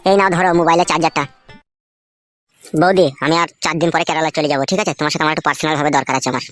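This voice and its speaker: A slick village Romeo from a comedy cartoon , acted by a man. He talks very fast in a high male voice, brash and smooth-tongued. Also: fairly bright tone, lightly acted. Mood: wooing. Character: smooth-talking, foul-mouthed village womanizer, a young man.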